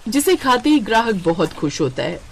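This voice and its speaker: A woman's voice: mid-range voice, sing-song, normal speed, creaky. A woman reading scripted narration for a moral story as its narrator. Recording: heavy noise behind the voice.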